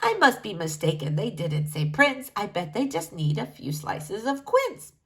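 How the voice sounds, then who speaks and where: A woman's voice: mid-range voice, huge pitch swings, a little slow. A woman voicing a humble, bewildered young man in a storybook, hesitant and full of wonder. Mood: disbelieving.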